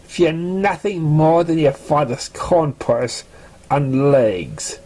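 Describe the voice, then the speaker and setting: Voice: mid-range voice, lively intonation, takes it slowly, warm tone. A narrator voicing a brash, protective young pony who threatens and insults cockily in a storybook reading. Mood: threatening.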